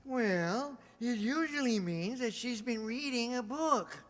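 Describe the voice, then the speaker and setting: An actor playing a mild, henpecked small-town druggist in an old-time radio comedy, with a hesitant, deadpan, folksy delivery. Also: male voice, extremely high pitch, slow pace, full character voice. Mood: dry, mild. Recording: reverberant hall, stage re-enactment.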